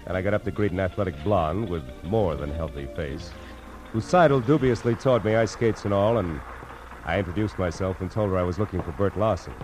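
An actor playing a wry, hard-boiled private detective in a 1940s radio noir, narrating and talking in cool slang. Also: male voice, sits low, fairly quick, close to an everyday voice, low-quality recording. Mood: wry narration. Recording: old radio recording with music.

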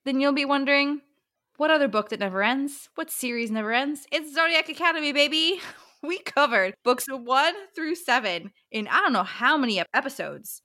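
A woman's voice: sits high, animated, normal speed, bright, forceful tone. A woman hosting a book podcast, chatting casually in a slangy, exclamatory way. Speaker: podcast host.

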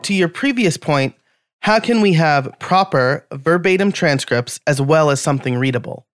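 A man's voice: on the high side, expressive, moderate pace, soft background music. A man hosting a podcast, asking interview questions in a friendly, conversational way. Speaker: podcast host.

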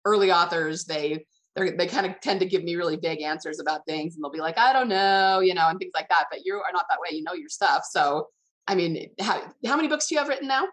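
A woman's voice: fairly low pitch, fast talker. A woman hosting a casual interview in a chatty, affirming, conversational way. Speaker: interview host, author.

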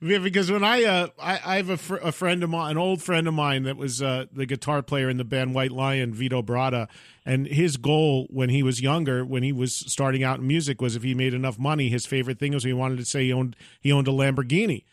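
A man's voice: mid-range voice, fairly even intonation, fairly quick, no room sound, clean studio sound. A middle-aged man hosting a rock interview show, chatty and enthusiastic. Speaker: radio and podcast host. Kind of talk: interviewing a guest.

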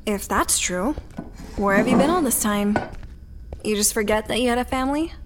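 A woman acting a hard-up mother from a futuristic slum, pitched high. She is blunt and guarded, with a bitter, sardonic edge suited to audio fiction. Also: normal speed, heavy background noise, natural voice. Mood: sceptical, sardonic.

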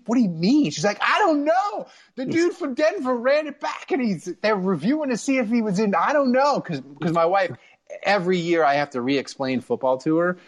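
A man in his thirties or forties telling casual stories as a sports co-host, animatedly acting out the dialogue. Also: high voice. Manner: animated storyteller, acts out quoted dialogue.

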